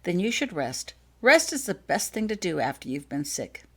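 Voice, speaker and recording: Woman reading as a gentle, kindly man, expressive pitch. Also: moderate pace, breathy edge, exaggerated voice. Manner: gentle, reassuring. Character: kind, gentle adult man visiting a sick child. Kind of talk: storybook character dialogue.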